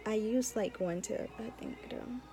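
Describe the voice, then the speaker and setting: A woman's voice: fairly high pitch, unhurried, mellow. A young adult woman, a law student, chatting informally and giving advice in a casual vlog with emphatic repetition.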